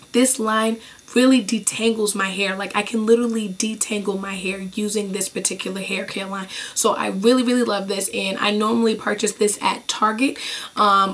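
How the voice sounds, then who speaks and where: A young woman with a hoarse voice after being ill, chatting enthusiastically through a beauty product review in a small bedroom. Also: medium pitch, a bit bright. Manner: chatty, enthusiastic, repeats praise. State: hoarse, losing her voice after being sick. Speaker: beauty vlogger. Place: small bedroom with clothes racks behind.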